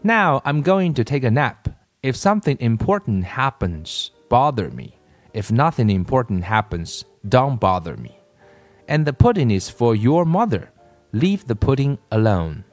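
A man doing a brisk, bossy big dad. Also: medium pitch, very animated, no echo, soft background music, voice pushed up. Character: big energetic wild-haired father, bossy.

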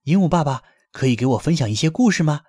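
A man voicing an eager, polite rabbit father in a bedtime story, asking quickly and earnestly. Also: fairly high pitch, animated, a little mellow, slightly rough, no room sound, clean studio sound, pitched above the performer's own voice.

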